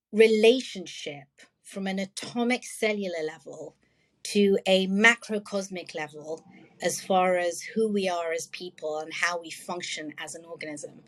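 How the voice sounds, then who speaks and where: A woman, a guest answering interview questions. Her answers run on in long, flowing sentences, thoughtful and searching. Her voice sits a little lower than most. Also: fairly bright tone. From London, England. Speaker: wellness practitioner, guest.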